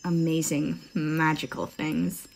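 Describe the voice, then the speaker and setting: A woman's voice: sits low, little pitch movement, takes it slowly, very noisy. A woman voicing a cheeky, dramatic, moody thirteen-year-old girl in a comic fandub. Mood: musing. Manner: cheeky, dramatic, musing to herself.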